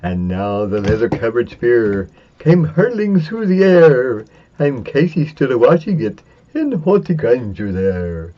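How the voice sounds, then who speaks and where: A man giving a semi-dramatic reading of a narrative poem, theatrical and drawn-out, with big swings for suspense and excitement. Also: mid-range voice. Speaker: poetry reader. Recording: dull, lo-fi sound.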